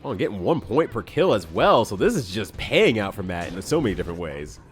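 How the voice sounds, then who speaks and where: Excitable male let's player with a swooping voice, music faint behind. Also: on the low side, brisk. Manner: excitable, laughing, lively play-by-play. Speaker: let's play commentator. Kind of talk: casual gameplay commentary.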